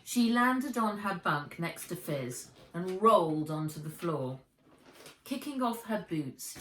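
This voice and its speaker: A woman reading a children's adventure story aloud as its author, expressively, in a storytelling style. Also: fairly low pitch. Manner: expressive, acts out the dialogue. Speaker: author reading her own book aloud. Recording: roomy echo, distant from the mic.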